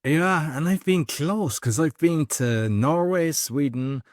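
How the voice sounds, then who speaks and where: A man hosting a podcast interview, asking questions in a halting way with many restarts. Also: a little high, dry recording, studio quality. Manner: halting, restarts and repeats words. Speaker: podcast host. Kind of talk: casual interview talk.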